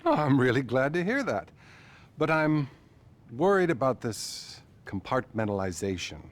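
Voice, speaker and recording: A man playing a patronizing, self-serving therapist in a video game, speaking in a measured way with feigned concern. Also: mid-range voice, big pitch swings. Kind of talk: video game cutscene acting.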